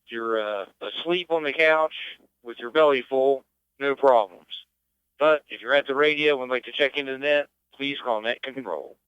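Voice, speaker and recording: A middle-aged man with an American accent runs a radio net as control operator. His voice is bright and clear, chatting casually and folksily. The audio is dry and a little dull. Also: medium pitch, normal speed, dry recording. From West Virginia, United States. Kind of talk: unscripted radio net hosting, casual chat. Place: amateur radio transmission.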